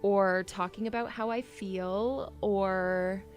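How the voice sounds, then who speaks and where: A woman's voice: medium pitch, steady tone, slow, soft background music, natural voice. A woman playing a plucky, awkward young princess in an audio drama, talking in a casual, blunt way. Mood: candid.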